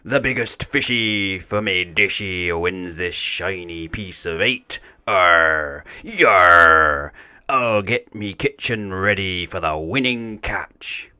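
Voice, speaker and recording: Storybook pirate captain, played by a male reader: jovial, hearty, forceful and bright, full of salty arrs. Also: sits low, slow pace, smooth, no echo, heavily acted. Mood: hearty, eager.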